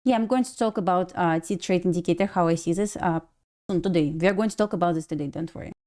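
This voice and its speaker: Russian-accented woman hosting a trading livestream, warm mellow tone, chatty and laid back. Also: on the low side, quick pace. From Belarus. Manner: relaxed, thinking aloud, reading chat. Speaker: day-trading livestream host. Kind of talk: casual live-stream commentary.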